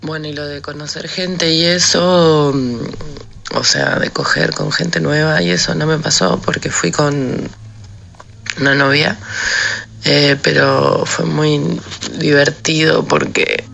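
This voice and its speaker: Creaky, bright, low-voiced Argentine woman. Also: fairly even intonation, slow pace. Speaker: listener sending an audio message. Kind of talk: casual personal anecdote. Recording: voice message with faint background noise.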